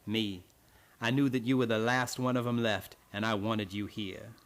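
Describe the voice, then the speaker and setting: An actor playing a grim, blunt old island retainer in a radio play, with rustic, archaic phrasing full of ayes. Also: male voice, lowish voice, steady tone, moderate pace, lightly acted. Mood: blunt, somber.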